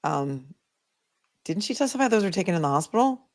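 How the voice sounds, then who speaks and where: A woman's voice: fairly low pitch, very animated, fairly quick. Casual woman livestream commentator with a Boston accent. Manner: conversational, opinionated, thinking aloud. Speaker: livestream host, legal commentator. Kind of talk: casual chat, answering live viewer comments.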